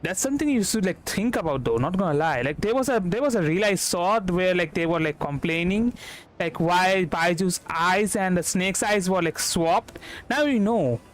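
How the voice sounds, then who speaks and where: A man from India casually commenting on a game as a streamer, halting, repetitive and informal. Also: high voice, lively intonation. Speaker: streamer, VTuber.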